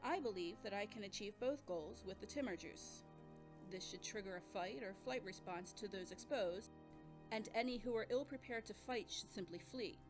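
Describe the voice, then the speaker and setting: A woman's voice: medium pitch, normal speed, over music, natural voice. A woman playing a composed military scientist in an audio drama, formal and matter-of-fact in manner. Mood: composed, businesslike.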